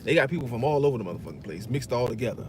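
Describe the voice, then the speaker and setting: Man rambling over loud road noise. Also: medium pitch, very animated, racing. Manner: loose, profane, full of fillers and "you know what I'm saying". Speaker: commentator. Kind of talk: casual rambling monologue.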